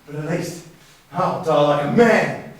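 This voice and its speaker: A man's voice: on the high side, a little slow, close to an everyday voice. A man acting in a stage play as a wounded, defiant grown son standing up to his bullying brother. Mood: defiant, taunting. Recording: very echoey hall, distant mic.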